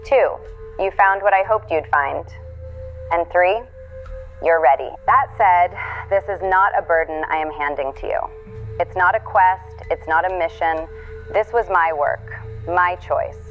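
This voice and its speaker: Actress as determined woman on a farewell video, slightly low voice, careful and earnest, muffled recording, bit of music. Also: close to an everyday voice. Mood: solemn, reassuring. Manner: measured, earnest, deliberate. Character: resolute dead woman leaving a last video message. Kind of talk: audio drama monologue. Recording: dull lo-fi video message playback.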